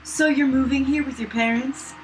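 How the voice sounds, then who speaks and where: A woman's voice: high voice, fairly even intonation, normal speed, soft background music, natural voice. A young woman voicing a teasing, worldly male painter in a visual novel, with a cool, dry delivery. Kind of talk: visual novel role reading. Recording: distant, slightly noisy fallback mic.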